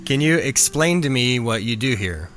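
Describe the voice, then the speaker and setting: A man's voice: mid-range voice, expressive, normal speed, over music. A man asking questions as the interviewer in a casual, conversational style.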